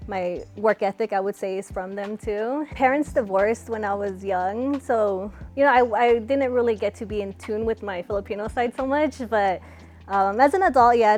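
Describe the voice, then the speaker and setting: A young woman from California answering interview questions in a relaxed, chatty way, with lots of fillers. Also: fairly high pitch. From California, USA. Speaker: interviewee, fighter.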